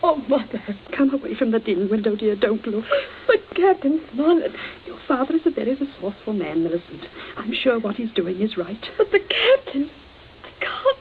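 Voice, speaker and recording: A woman's voice: a little high, big pitch swings, moderate pace, creaky. An actress playing a distressed, genteel woman, tremulous and fretful, in a vintage radio suspense play. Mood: tearful. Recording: tinny, noisy old radio broadcast.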